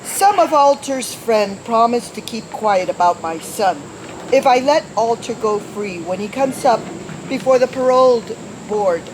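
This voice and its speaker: A man's voice: very high voice, lively intonation, measured pace. A male actor playing a worried, formal parole official and father, earnest and polite, in an old-time radio detective drama. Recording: noisy, hissy radio play recording.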